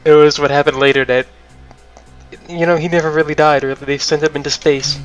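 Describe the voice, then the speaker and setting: Teasing man bantering, slightly high voice. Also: little pitch movement, brisk, dull audio. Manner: joking, teasing, profane. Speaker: let's play co-commentator. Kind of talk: casual banter. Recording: game music behind. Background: fighting game music.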